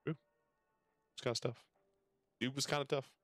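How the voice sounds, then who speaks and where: A man's voice: mid-range voice, fairly even intonation, moderate pace, a bit bright, no room sound. A man streaming a game, reading its dialogue aloud with casual asides and the odd stumble over the words. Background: game music.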